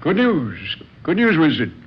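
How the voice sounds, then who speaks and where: An actor playing a grave, kindly doctor in old-time radio drama style, deliberate, with pauses, on a worn old recording. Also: male voice, a little high, wildly swooping pitch, muffled recording, slight background noise. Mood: pleased.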